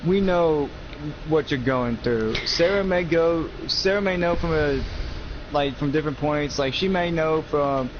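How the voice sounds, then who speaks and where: A man's voice: a little high, unhurried. An eighteen-year-old man chatting casually as a gaming co-host, earnest and emphatic, often repeating himself. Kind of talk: casual chat over gameplay. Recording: noisy, muffled lo-fi mic.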